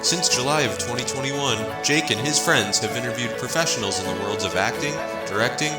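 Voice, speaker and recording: A man delivers the show's opening announcement in a smooth, promotional way. His voice sounds roomy, with loud music playing underneath it. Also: medium pitch, brisk. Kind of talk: scripted show intro.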